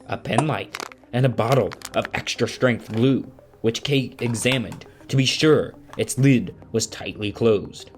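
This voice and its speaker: A man's voice: medium pitch, lightly acted. A man narrating a family audio drama in scripted storybook style.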